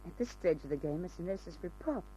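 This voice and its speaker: A man's voice: high voice, animated, fast talker, mellow. A performer playing a cool, self-possessed mystery woman in an old radio crime drama, teasing and in control. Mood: coolly teasing. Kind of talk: 1940s-50s radio drama acting. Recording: noisy old radio recording.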